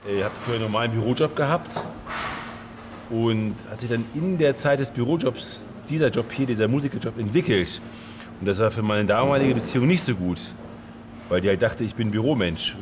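A man with a lowish voice speaks thoughtfully, pausing and restarting. Clear background noise from around him sits under the voice. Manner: thoughtful, hesitant. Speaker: singer. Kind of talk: casual interview.

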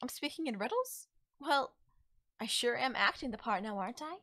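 A woman voicing a playful, cryptic, teasing witch in an ASMR audio roleplay, coy and given to musing pauses. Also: fairly high pitch, expressive, pushed and bright, no echo, studio quality, full character voice. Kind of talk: ASMR audio roleplay, acted straight to the listener.

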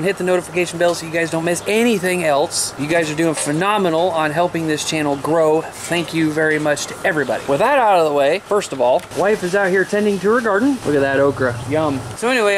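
Higher-pitched American man, noisy handheld recording. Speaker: host of a CB radio vlog. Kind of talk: casual chat to camera. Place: outdoors by a mobile home, later a small room indoors. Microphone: camera at arm's length. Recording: clear outdoor noise, handheld camera.

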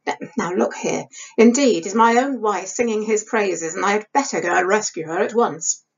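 Woman as a wry older male actor, husky. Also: medium pitch, normal speed. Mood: musing, grudging. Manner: dry, formal period speech. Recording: dull lo-fi webcam sound.

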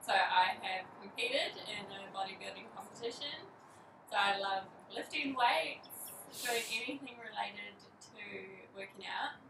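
A young woman chatting cheerfully and softly in a couple's vlog. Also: mid-range voice, a little slow, gravelly. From New Zealand. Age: young adult. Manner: cheerful, soft-spoken, giggly. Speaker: vlogger. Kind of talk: casual couple vlog intro. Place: apartment by big windows, indoors. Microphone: camera mic from a few feet away. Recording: roomy, distant, noisy.

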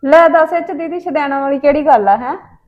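A woman's voice: high-pitched, brisk, dull audio, natural voice. A woman playing a cheerful, easygoing young wife who teases and jokes in a home comedy sketch. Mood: playful. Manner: playful, teasing.

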